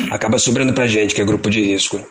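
An actor playing an older retired mall Santa with a Rio de Janeiro accent, downhearted and colloquial in an audio drama. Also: male voice, fairly low pitch. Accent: Brazilian, Rio de Janeiro. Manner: resigned, colloquial. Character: downhearted, resigned retired mall Santa, gentle old man.

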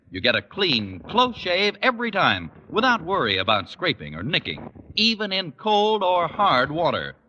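A man's voice: fairly high pitch, bright, forceful tone, lo-fi sound, slight background noise. A man with an American accent announcing in a polished, declamatory old-time radio style. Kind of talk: 1940s-50s radio announcing.

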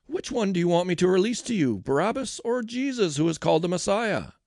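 A stern Roman governor, acted out by a male narrator, exasperated and declamatory, in a scripture audiobook. The voice rises well above a normal male register as he questions, with a gravelly edge in a close, dry recording. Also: high-pitched, big pitch swings, fairly quick, darkish tone, no room sound, voice pushed up, exaggerated voice.

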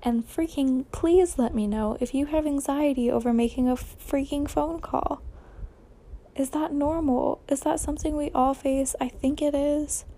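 A young American woman with a high voice talks through a casual podcast at an unhurried, slow pace. She rambles informally, often second-guessing and correcting herself. Her tone is soft-edged and mellow rather than bright. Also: fairly even intonation. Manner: informal, self-questioning, trailing off and correcting herself. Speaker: solo podcast host.

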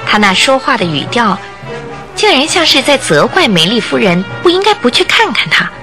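A woman's voice: fairly high pitch, sing-song, normal speed, sharp tone, soft background music. A woman narrating a radio drama in a storytelling style.

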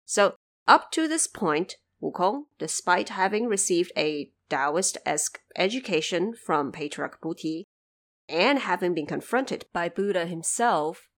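A woman from China hosting an explanatory podcast, speaking in an informal, wry way. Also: fairly low pitch. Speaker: podcast host. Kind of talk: explanatory podcast commentary on folklore.

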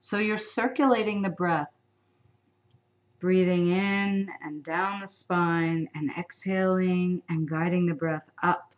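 A woman leading a guided meditation in a soothing, unhurried manner, with long pauses between phrases. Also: on the low side, fairly even intonation, lo-fi sound.

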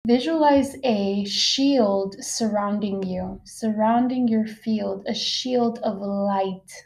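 A woman guiding a meditation, soft-spoken and unhurried, leaving long pauses between soothing phrases. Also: medium pitch, rough recording. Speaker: meditation guide. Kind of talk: guided meditation, slow soothing narration.

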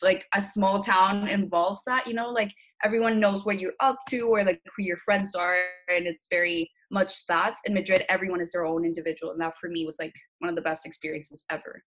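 A woman's voice: lowish voice, fairly bright tone. A young woman from Colombia, a university student talking casually on a panel, with plenty of fillers. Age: young adult. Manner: chatty, many fillers.

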